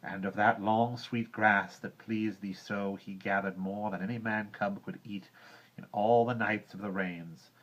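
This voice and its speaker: Brief: wise teasing panther, man reading, low voice, slow drawl, muffled lo-fi sound. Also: steady tone, a bit bright. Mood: sly drawl. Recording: dull lo-fi recording.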